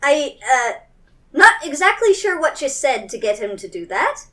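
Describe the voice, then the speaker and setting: A woman improvising casually as a tabletop game player, talking in a hesitant, halting way. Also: high voice, very animated, sharp tone. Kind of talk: casual improvised roleplay chat. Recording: distant mic.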